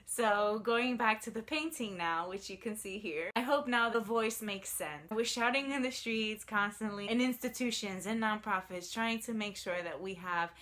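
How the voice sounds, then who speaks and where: A woman's voice: mid-range voice, moderate pace, hardly any pauses, fairly bright tone. A woman in her thirties from New York City, speaking in an earnest, reflective way as she tells her personal story for a video application. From New York City, United States. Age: 30s. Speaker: artist, social worker and fellowship applicant.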